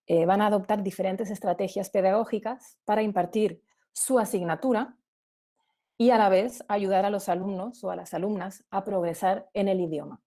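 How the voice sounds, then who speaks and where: Female webinar presenter, warm dark-toned voice, didactic explanatory delivery built around examples. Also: mid-range voice, vocal fry.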